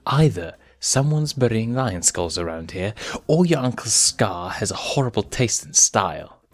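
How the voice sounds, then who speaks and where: A man's voice: lowish voice, very animated, measured pace, soft background music, pitched above the performer's own voice. A man voicing a sensible, spirited young female lion cub with a teasing, questioning manner. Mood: uneasy.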